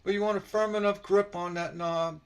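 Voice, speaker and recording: A man teaching stained glass on a casual live stream, slightly hoarse from a sore throat, relaxed and rambling with many fillers. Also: sits high, normal speed. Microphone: computer mic on a live stream.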